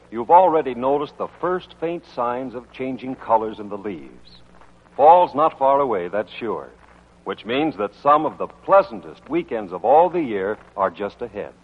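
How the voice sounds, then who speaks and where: Male radio announcer, old-time scripted delivery, animated intonation, slightly husky, on a thin phone-like recording. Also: medium pitch. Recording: tinny band-limited old radio, faint music.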